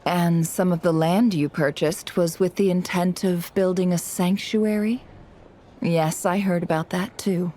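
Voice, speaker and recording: A woman playing a shrewd, polite intelligence operative in a video game, measured and knowing. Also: fairly low pitch, steady tone, mellow, slight background noise, natural voice. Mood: gently teasing. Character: composed, shrewd woman spymaster, politely calculating. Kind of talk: video game cutscene acting.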